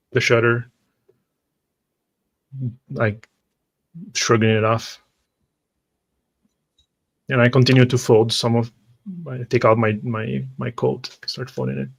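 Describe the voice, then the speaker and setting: A man playing a tabletop roleplay game, narrating his character's actions in the first person in a halting way. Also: medium pitch, vocal fry. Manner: halting, with repeated words.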